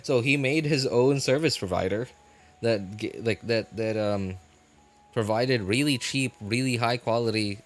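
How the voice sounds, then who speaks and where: A man hosting a livestream, chatting casually and unscripted with viewers in a halting, rambling way that often repeats phrases. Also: medium pitch, soft background music. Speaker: livestream host.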